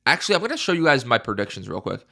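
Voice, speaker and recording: A man's voice: mid-range voice, very animated, racing, vocal fry, pushed and bright. A young man with a congested, stuffy voice giving casual fight predictions, emphatic and repeating his points, swearing now and then. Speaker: MMA YouTuber.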